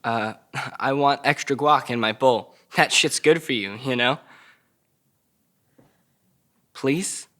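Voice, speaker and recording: A man's voice: mid-range voice, measured pace, bright, forceful tone. A man playing a foul-mouthed, defiant sixteen-year-old boy in custody in an audio drama table read. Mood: sheepish. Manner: cocky, mouthy, slangy.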